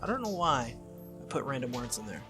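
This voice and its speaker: A man's voice: medium pitch, big pitch swings, brisk, sharp tone, faint music behind. A man reading a visual novel aloud as a playthrough host, with casual asides and occasional stumbles that he corrects. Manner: stumbles over lines and corrects himself.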